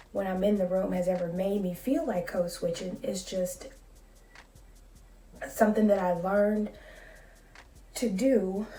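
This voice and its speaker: A woman in her late thirties, a school psychologist, talking in a soft, calm and measured way in a casual vlog. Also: on the low side, reverberant, far from the mic, slight background noise. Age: 30s.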